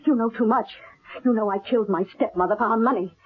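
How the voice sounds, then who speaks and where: A woman's voice: a little high, quick pace, slightly rough. A woman playing a genteel but deranged, cold-blooded murderess in a melodramatic old-time radio mystery. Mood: cold menace. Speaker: actress. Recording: muffled, narrow-band old radio recording.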